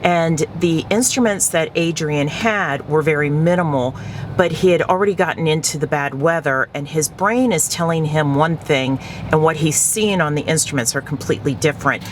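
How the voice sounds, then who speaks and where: A man's voice: on the high side, normal speed, a little hiss or hum. A man speaking as an aviation expert in a plain-spoken, explanatory interview.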